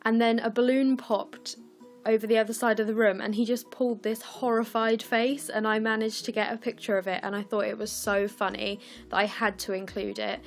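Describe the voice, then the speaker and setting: A young woman from the UK, croaky and scratchy, chatting through her scrapbooking as a casual voice-over, with lots of ums and apologies. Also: a little high, little pitch movement, a little fast, soft background music. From United Kingdom. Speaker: scrapbooking vlogger. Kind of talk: casual process video voice-over.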